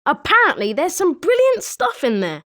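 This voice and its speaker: A woman's voice: very high voice, huge pitch swings, normal speed, a bit bright, heavily acted. A woman voicing a chatty, opinionated young student at a wizard school in scripted video game lines. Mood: helpful, eager.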